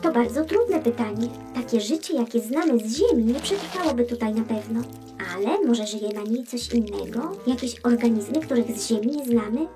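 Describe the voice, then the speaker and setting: A woman plays a talkative space probe in a children's radio play. She is high-pitched and very animated, explaining with curiosity and a wry, teasing edge. Faint music plays behind her. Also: normal speed, natural voice. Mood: eager, explaining. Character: talking female space probe, chatty, sardonic, curious explorer.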